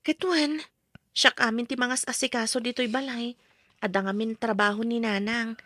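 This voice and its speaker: Meek young radio-drama wife, soft and apologetic, slightly high voice, no room sound. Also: female voice, steady tone, moderate pace, no room sound, studio quality. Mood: tired, wistful. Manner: soft-spoken, apologetic, hesitant. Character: meek, dutiful eighteen-year-old orphaned young wife.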